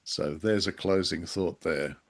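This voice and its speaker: A man co-hosting a casual podcast discussion, speaking in a halting, hesitant way with wry, self-deprecating humour. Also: deep voice. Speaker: podcast co-host and freelance writer. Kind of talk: casual conversational discussion of old radio drama.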